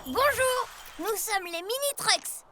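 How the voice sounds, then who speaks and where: A woman's voice: very high-pitched, lively intonation, slow, very noisy, full character voice. A woman voicing a bold, eager young truck kid in a children's cartoon, exclamatory and childlike. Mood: excited. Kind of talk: animated children's cartoon acting. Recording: loud music bed behind the voice.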